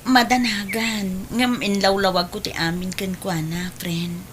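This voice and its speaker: A calm female voice from an actress plays a determined adult daughter. She sounds dutiful and hopeful, reassuring in a restrained audio-drama style. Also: medium pitch, a little slow, slight background noise, close to an everyday voice. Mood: hopeful, resolute.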